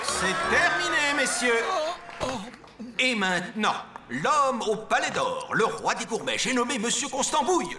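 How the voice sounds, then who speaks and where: An actor playing a grandiose, excitable cooking contest announcer in a cartoon, building suspense in an echoey space with music behind. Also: male voice, extremely high pitch. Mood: grand, ceremonial.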